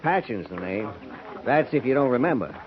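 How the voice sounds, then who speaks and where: A man's voice: medium pitch, big pitch swings, normal speed, a crowd in the background, close to an everyday voice. A man playing a wheedling, folksy cavalry trooper. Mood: sly. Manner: folksy drawl, falsely humble. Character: wheedling, needling old army acquaintance. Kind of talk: 1950s radio drama acting. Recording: muffled 1950s radio broadcast, faint hiss.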